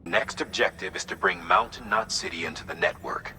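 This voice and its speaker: Mission commander for a game. Very low, hard-edged, authoritative, reverberant. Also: male voice, brisk, sharp tone. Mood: firm briefing. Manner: instructive, authoritative. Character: forceful, steady male commander giving mission briefings. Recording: long reverb, faint noise.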